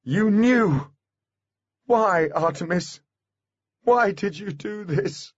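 A man's voice: high voice, expressive, very slow, dry recording, highs cut off, pitched above the performer's own voice, heavily acted. A man voicing a fierce, loyal female fairy in an audiobook, pleading and full of emotion. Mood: hurt, accusing. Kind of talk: audiobook character voice.

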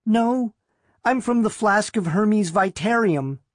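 A woman's voice: medium pitch, animated, slow pace, dry recording, clean studio sound, voice pushed up. A woman reader voicing a timid, frightened young woman who speaks faintly and hesitantly in a story. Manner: faint, hesitant, whispery, trailing off.

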